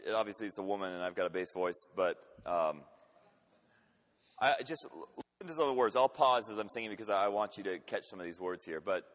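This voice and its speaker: A man preaching a sermon with emphatic delivery, swinging between urgent loud passages and quiet, intimate ones. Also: fairly low pitch. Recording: long hall reverb.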